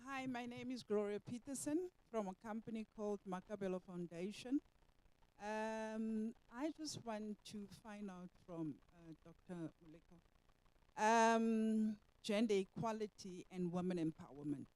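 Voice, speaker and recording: A woman from the audience asking a hesitant, halting question. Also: mid-range voice, darkish tone, poor audio.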